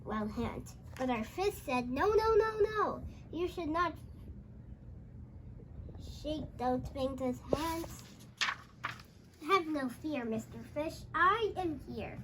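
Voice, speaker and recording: A child host retelling a storybook aloud, halting and repeating phrases, with exclaimed dialogue. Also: high-pitched, lively intonation, fairly bright tone, slight background noise.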